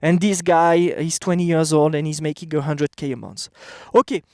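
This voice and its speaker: A man's voice: a little high, fairly even intonation, normal speed, darkish tone, no echo, clean studio sound. A man in his thirties with a French accent, giving a blunt, emphatic motivational talk to camera outdoors. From France. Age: 30s. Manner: blunt, emphatic, repeats "okay?". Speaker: vlogger.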